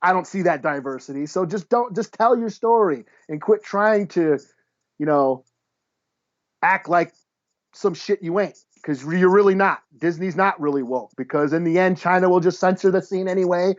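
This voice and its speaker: A man's voice: high-pitched, normal speed, highs cut off. A man co-hosting a podcast, chatting casually in a blunt, profane way. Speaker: podcast co-host. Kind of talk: casual chat.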